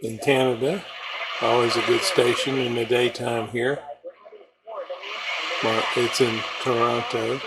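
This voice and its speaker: An older man giving casual, low-key, unscripted commentary while demonstrating his radio as a hobbyist. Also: level tone, measured pace, long pauses, poor audio.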